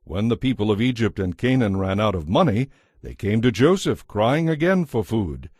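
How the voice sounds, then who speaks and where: A man narrates scripture in a measured, solemn way. His voice is rather low and husky. It is recorded dry, with faint music behind it. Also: very animated, a little mellow, no room sound.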